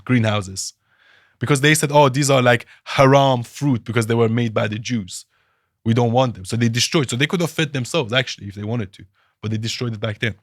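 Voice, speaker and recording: A man's voice: medium pitch, a bit bright, dry recording, clean studio sound. A man from Iran, a political analyst guest, arguing his views emphatically with rhetorical questions in a podcast interview.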